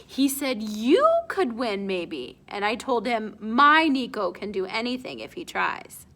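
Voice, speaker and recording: A woman's voice: fairly high pitch, animated, measured pace, bright, forceful tone, full character voice. A woman voicing a proud, stern, hard-working father with emphatic, declarative phrasing in a storybook.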